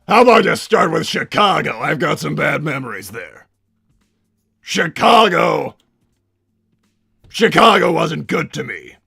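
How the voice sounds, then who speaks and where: A man playing a pompous, irritable cartoon villain scientist and doting father, raspy and theatrical, bantering in character on a livestream. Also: fairly high pitch, very animated, unhurried, pauses often, bright, forceful tone, soft background music, heavily acted. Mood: flustered, theatrical. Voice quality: raspy, gravelly. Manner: theatrical, blustering, stammers when flustered. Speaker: livestream host reading donations.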